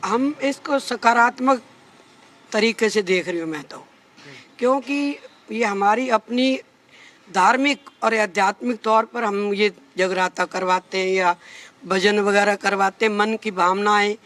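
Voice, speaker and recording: A woman's voice: medium pitch, unhurried, fairly bright tone. Woman, interviewee, unscripted, rambling delivery.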